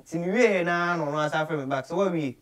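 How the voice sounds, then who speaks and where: A young Ghanaian man with a slightly high voice and a Ghanaian accent speaks as an interview guest. His talk is casual and slips between Twi and English. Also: fast talker. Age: 20s. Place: small studio office, indoors.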